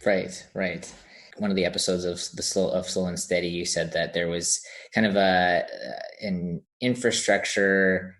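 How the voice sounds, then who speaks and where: A man hosting a casual interview, speaking informally and in halting phrases. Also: sits low, fairly even intonation, fairly bright tone. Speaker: podcast host interviewing a guest.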